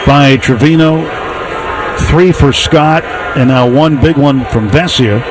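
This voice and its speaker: A man doing live baseball play-by-play for radio, with measured phrasing, pauses and clipped stat recaps. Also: mid-range voice, background noise. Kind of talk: live radio sports commentary.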